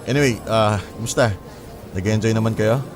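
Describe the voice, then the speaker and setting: A man's voice: lowish voice, steady tone, normal speed, background noise, close to an everyday voice. A man playing a confident, friendly success in a radio drama, teasing in an easygoing way with bits of English. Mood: friendly, pleased. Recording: music bed under the voice.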